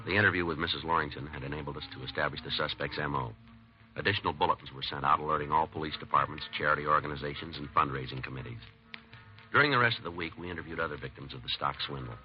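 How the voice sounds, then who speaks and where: A stoic police detective in an old radio crime drama. His voice is extremely low, with a quick, clipped, deadpan delivery. The vintage recording sounds dull. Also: soft background music. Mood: matter-of-fact. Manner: deadpan, clipped, matter-of-fact. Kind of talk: 1950s radio police drama, dialogue and narration.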